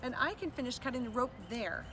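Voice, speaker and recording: A young male rescuer from a storybook, as a woman reader plays him. He speaks high, urgently and reassuringly. The mic is distant, with noise behind. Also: animated, brisk. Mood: determined.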